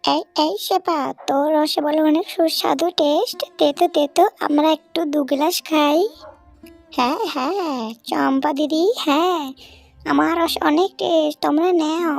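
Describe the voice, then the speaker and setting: A performer voicing an excitable cartoon animal villager, speaking in exclamations and repeating phrases. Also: high voice, normal speed, vocal fry, dry recording. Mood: cheerful. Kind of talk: Bengali cartoon story dialogue. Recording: music behind the voice.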